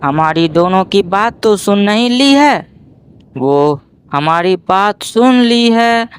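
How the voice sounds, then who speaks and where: Man voicing a slow-talking, high-pitched greedy cartoon crow. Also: lively intonation, clear, resonant tone, no echo. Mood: dismayed. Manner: pleading, drawn-out, scheming. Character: hungry, greedy, whining male crow husband.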